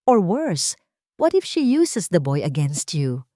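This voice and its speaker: A woman's voice: lowish voice, big pitch swings, slow, warm tone, no echo. Scheming male friend played by a woman, insinuating, suspicious, audio romance drama, dry close sound.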